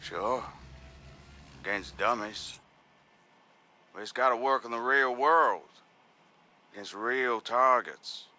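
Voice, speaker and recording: A man playing a hard, skeptical military commander in a video game, curt and deliberate. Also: medium pitch, pushed and bright. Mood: skeptical, challenging. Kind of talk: video game cutscene acting.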